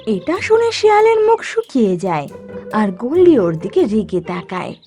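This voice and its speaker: A woman narrates a children's animal tale with dramatic expression. Her voice sits a little high and swoops widely from line to line. Soft music plays underneath. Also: big pitch swings, normal speed.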